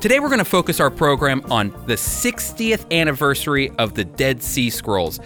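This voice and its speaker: A man's voice: mid-range voice, very animated, normal speed, sharp tone, faint music behind. A man hosting a radio program, speaking conversationally as he introduces and interviews a guest. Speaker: radio show host and interviewer.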